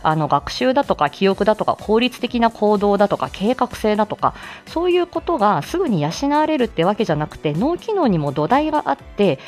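A woman talks casually as the solo host of a live audio show, with lively, swinging intonation. She is candid and self-deprecating and laughs often as she speaks. Light music plays behind her voice. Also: mid-range voice. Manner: laughs often while talking. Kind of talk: casual chatty solo livestream talk.

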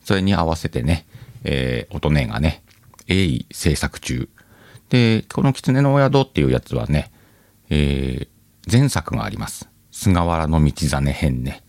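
A man's voice: a bass voice, very animated, unhurried, creaky, a little mellow, dry recording. A man hosting his own audio show, chatting casually and musing aloud to his listeners. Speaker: host of an audio channel. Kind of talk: casual solo radio-style chat. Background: faint music.

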